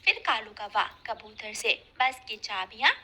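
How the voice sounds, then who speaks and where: A woman narrates a cartoon story from a script. Her voice is high and strikingly bright and crisp-edged. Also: sing-song, unhurried.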